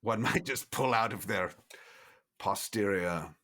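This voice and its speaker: A man's voice: on the low side, slow, bright, forceful tone. A man playing a genteel, droll old vampire schemer in a tabletop game, fussy and mock-polite with hesitant phrasing. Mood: flustered.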